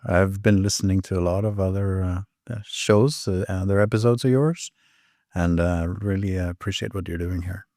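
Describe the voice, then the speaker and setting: A man's voice: deep voice, fairly even intonation, normal speed, mellow, dry recording, clean studio sound. A middle-aged man from Sweden, speaking English as an as a podcast guest, hesitant with many fillers and restarts. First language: Swedish. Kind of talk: casual interview chat.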